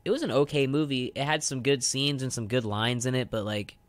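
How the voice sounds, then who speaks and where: A man's voice: medium pitch, brisk, no echo. A young American man co-hosting a radio show, chatting casually and rambling with lots of "like" as a filler. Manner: rambling, heavy use of "like". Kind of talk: casual chat. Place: radio studio.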